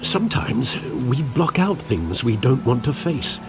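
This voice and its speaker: An actor playing a loyal elderly male butler, speaking in a measured, formal, grave way. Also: medium pitch, sing-song, vocal fry, music in the background. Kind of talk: video game cutscene acting. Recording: game audio with rain ambience. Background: rain and ambience.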